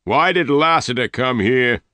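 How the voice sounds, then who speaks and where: A man's voice: mid-range voice, lively intonation, sharp tone, dry recording, pitched above the performer's own voice. A man voicing a fat, gray-haired older male leader who fires off demanding questions in a raised voice. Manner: demanding, hectoring rapid questions.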